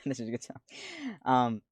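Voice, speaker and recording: A young man with a deep voice talks loosely into a desk mic at home, his delivery rambling and easily distracted, full of laughs and swearing. The sound is dry and close, with no room around it. His pitch sits in an ordinary middle range for a man. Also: little pitch movement, unhurried, no echo. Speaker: streamer. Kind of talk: casual livestream chat.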